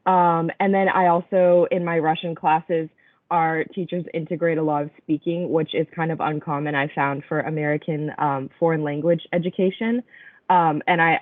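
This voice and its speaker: A woman's voice: low-pitched, dry recording. A young woman student from Texas answers on a panel, hedging often with fillers. Her voice comes through tinny and narrow, dry as a phone line. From Houston, Texas. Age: young adult. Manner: hesitant, many um fillers.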